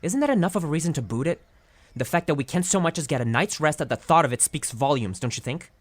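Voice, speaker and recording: Skeptical circus performer, pointed, uneasy, lively swinging pitch, dry sound, radio drama. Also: female voice, fast, no room sound. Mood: accusing. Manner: conversational, pointed.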